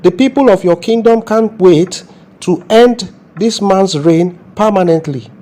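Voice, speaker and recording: A man's voice: sits high, measured pace, dark tone. A man acting as a zealous, self-important Nigerian police officer in a comedy skit, with a scolding manner and a Nigerian accent. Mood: proudly humble.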